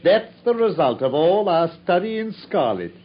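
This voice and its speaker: An actor with an English accent playing a sardonic gentleman detective in theatrical vintage radio drama style, his voice husky. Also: high voice, sing-song, normal speed. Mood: sardonic amusement. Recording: dull, lo-fi vintage radio recording.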